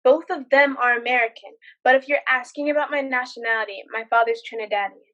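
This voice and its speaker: Girl acting young woman in a stage drama, high voice, firm and sarcastic, doesnt put up with anything. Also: fairly even intonation, fast talker, fairly bright tone, dull audio, lightly acted. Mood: polite, guarded. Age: young adult. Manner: sarcastic, firmly lecturing. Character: outspoken, indignant young paralegal woman on a date. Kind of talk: student one-act stage drama.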